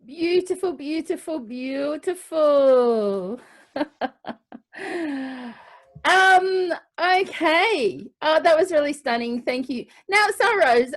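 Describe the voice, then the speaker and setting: An Australian woman hosting a live online show in a casual, halting, playful and chatty way. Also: high voice.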